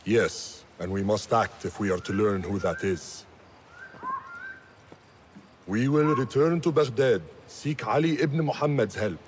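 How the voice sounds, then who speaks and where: A pragmatic leader of assassins in a game cutscene. He gives orders firmly and deliberately, with animated pitch movement. Light ambience is audible behind him. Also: noisy background. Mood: firm, commanding. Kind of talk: video game cutscene acting.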